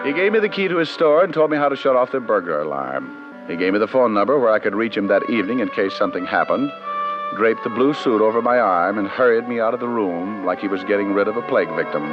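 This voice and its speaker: Actor as wisecracking American radio detective, animated delivery. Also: male voice, on the low side, fast talker, natural voice. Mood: wry narration. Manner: wry, sardonic, flippant. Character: wisecracking, cocky male private detective narrating his case. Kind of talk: 1940s-50s radio detective acting and narration. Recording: muffled vintage radio broadcast with music.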